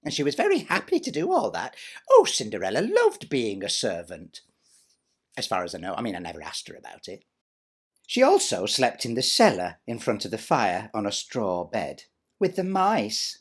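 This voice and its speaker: A man's voice: lowish voice, huge pitch swings, moderate pace, husky, heavily acted. A man voicing a vain, bossy, spiteful stepsister in a chatty, self-satisfied comic pantomime monologue. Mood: smug, gossipy.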